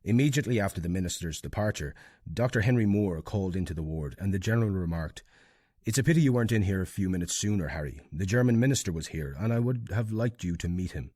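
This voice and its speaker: A man's voice: low-pitched, animated, quick pace, a little mellow, no room sound, studio quality. A man formally reading out archival intelligence reports. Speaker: reader of archival documents.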